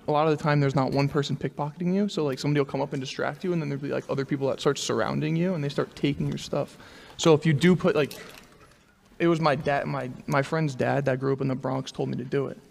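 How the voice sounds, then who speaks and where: A man's voice: medium pitch, fairly even intonation, quick pace, vocal fry, a little mellow. A young man from New York, a student, talking casually into a handheld mic in a lecture hall and rambling with many fillers. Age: young adult. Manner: rambling, heavy filler use. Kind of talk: casual class discussion.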